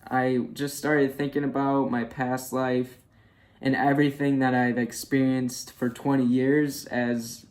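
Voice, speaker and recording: A man's voice: mid-range voice, little pitch movement, unhurried, a little mellow. A twenty-one-year-old man chatting candidly in a casual personal vlog, with many fillers. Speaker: vlogger.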